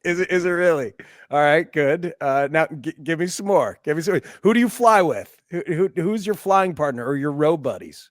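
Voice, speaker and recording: A man's voice: fairly high pitch, expressive, normal speed, no room sound, clean studio sound. A middle-aged man hosting a conversational sports interview, encouraging, repeating phrases as he forms his thoughts. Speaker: sports radio host, interviewer.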